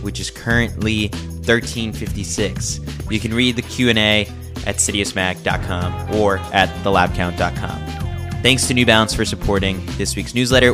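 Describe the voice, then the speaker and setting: A man with an American accent reads a scripted sports recap in a low voice. He delivers it as a podcast host, with music playing underneath. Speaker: podcast host reading a newsletter aloud.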